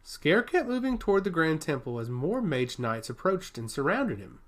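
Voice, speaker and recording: A man's voice: on the high side, big pitch swings, normal speed. A man reading a scripted fantasy story aloud as its narrator. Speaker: audiobook narrator.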